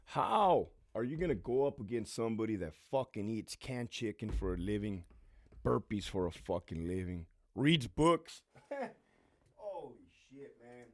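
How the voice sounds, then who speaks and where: A man's voice: fairly low pitch, big pitch swings, unhurried, no echo, studio quality. A man hosting his own channel, a former prison officer talking in a brash, profane, streetwise way and laughing often. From California. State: losing his voice. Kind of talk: casual profane monologue, reading supporter names.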